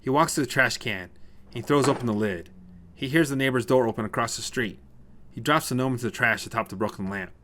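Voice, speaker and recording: Casting: male storyteller for short fiction, mid-range pitch, crisp forceful tone, scripted present-tense narration. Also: a little fast, slight background noise.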